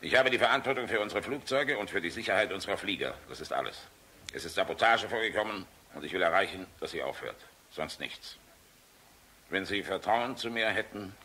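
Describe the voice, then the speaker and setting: Weary, blunt general for radio play. Low-pitched, deliberate delivery, faintly noisy recording. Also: male voice, pushed and bright, exaggerated voice. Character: weary, plain-spoken German air force general, courteous but blunt.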